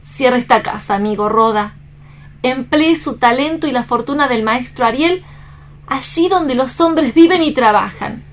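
A woman's voice: high-pitched, moderate pace, lightly acted. An actress playing a distraught but resolute young woman in a radio drama, speaking earnestly and solemnly. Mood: urgent pleading. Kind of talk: dramatic staged radio reading.

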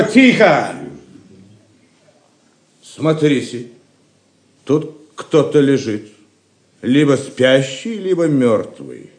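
An actor playing a haughty, stubborn medieval knight in an audio drama, brusque and imperious. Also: male voice, on the high side, a little mellow, heavily acted. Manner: imperious, argumentative. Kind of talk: theatrical audio drama acting. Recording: echoing, roomy sound.

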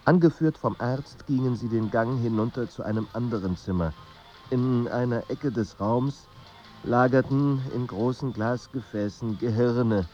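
A man narrating a melancholy literary radio drama in a measured, sombre manner. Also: medium pitch, mellow, dull audio, lightly acted.

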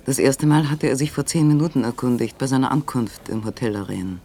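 A man's voice: mid-range voice, brisk, warm tone, close to an everyday voice. A man reading scripted narration for a radio play, on a recording with faint noise.

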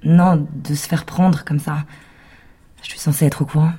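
A woman's voice: low-pitched, steady tone, moderate pace, warm tone, slightly rough. An actress playing a defensive night nurse who answers her doctor's questions with curt, guarded replies in a radio drama. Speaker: patient.

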